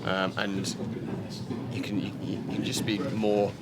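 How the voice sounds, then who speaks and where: A man being interviewed as a company representative, explaining technical things conversationally in a slightly halting way. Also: on the low side, flat delivery, very noisy. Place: hi-fi show. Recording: music playing behind the voice. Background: loud music.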